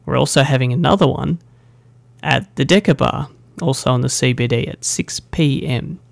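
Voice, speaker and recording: A young man talks casually straight to the camera, rambling and poking fun at himself. His voice has a gravelly edge. The sound is close and dry, with no room echo. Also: medium pitch, normal speed. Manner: chatty and self-deprecating, with fillers. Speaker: meetup organiser. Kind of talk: casual vlog announcement.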